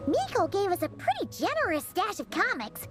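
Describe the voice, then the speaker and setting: A woman's voice: very high voice, wildly swooping pitch, normal speed, full character voice. A woman voicing a small floating fairy companion with a perky, chatty manner. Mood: planning. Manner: bubbly, upbeat. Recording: game audio with music behind.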